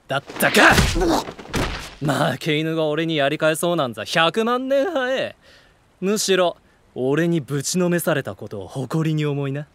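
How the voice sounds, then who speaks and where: A man acting a cocky, hot-tempered teenage brawler, with rough, sneering, slangy speech in a voice drama. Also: a little high, a bit bright, slight background noise, full character voice.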